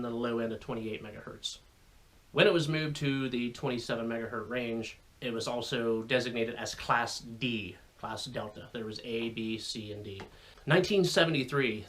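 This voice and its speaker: A man's voice: medium pitch, a bit bright. An American man giving an informal explainer to viewers in a folksy, conversational way with rambling asides. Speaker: radio hobbyist video host.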